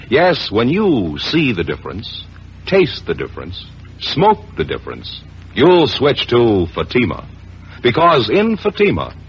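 An American man delivering an emphatic, salesmanlike 1950s radio commercial in a husky voice. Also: on the low side, slight background noise. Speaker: commercial announcer. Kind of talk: 1950s radio advertising pitch. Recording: dull, lo-fi vintage radio recording.

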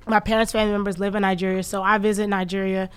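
A young woman, a podcast guest, talking about her family in relaxed, slangy casual chat. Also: medium pitch, racing, smooth. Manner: relaxed and open, with slang and many "um"s.

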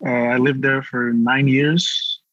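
A young man from Nigeria tells his story in a relaxed, easygoing way during a casual chat. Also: medium pitch, takes it slowly, no room sound, muffled recording. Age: young adult. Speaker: youth artist guest.

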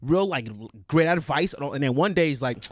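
A man co-hosting a casual podcast chat, talking in a quick, emphatic, slangy way. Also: a little high, animated. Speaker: podcast co-host.

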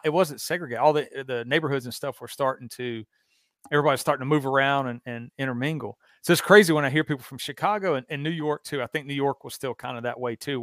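A man's voice: mid-range voice, fairly bright tone, dry recording, studio quality. A man in his thirties with a Southern US accent, hosting a podcast in easygoing, rambling chat with chuckles. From American South. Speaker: podcast host. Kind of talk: casual chat.

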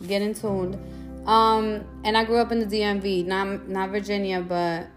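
A woman's voice: mid-range voice, flat delivery, moderate pace, faint music behind. A woman in her twenties, born in Peru, co-hosting a podcast in a chatty, giggly, casual way. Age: 20s. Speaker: podcast co-host. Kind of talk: casual chat.

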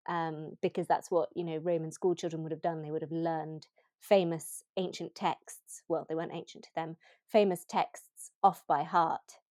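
A woman with a fairly low voice tells a personal story on a podcast, eager and full of restarts. The sound is close and dry. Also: mellow, dry recording. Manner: enthusiastic, halting restarts. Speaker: podcast co-host.

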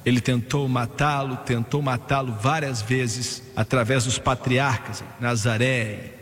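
A man preaching a sermon in animated fashion, his voice rising to high exclamations. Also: measured pace, big-room sound, slight background noise.

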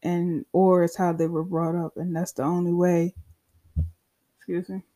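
A young podcast host in their twenties, talking alone in a loose, unscripted way. The delivery is slow and unhurried, the tone mellow and dark, candid and profane with frequent asides. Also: mid-range voice, level tone. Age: 20s. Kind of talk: casual solo monologue.

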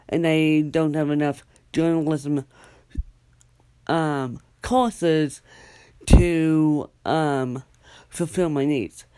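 A young adult speaker hosts a solo podcast, drawling slowly through a loose, rambling update. The talk halts often, full of fillers and restarts. The voice sits close and dry, with no room sound. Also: medium pitch, a little mellow, clear, resonant tone, dry recording. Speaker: podcast host.

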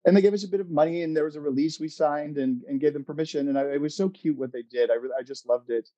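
A middle-aged American man chatting casually as an interview guest, telling anecdotes in a halting, expressive, self-amused way. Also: a little high, dry recording, poor audio. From Oregon, United States. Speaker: interview guest, playwright and screenwriter.

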